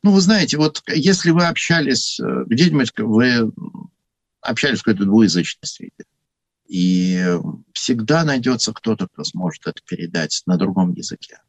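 A man with a husky voice giving an unscripted talk on church history, thoughtful and full of hesitations. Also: fairly low pitch, lively intonation, normal speed, no room sound.

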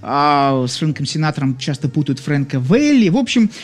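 A man from Leningrad hosts a casual live stream about music, talking to his viewers. He has a slight burr on his r sounds and fills his chatter with hesitations. His voice sits a little high for a man. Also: very animated, normal speed. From Leningrad, Russia. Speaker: radio-style music show host.

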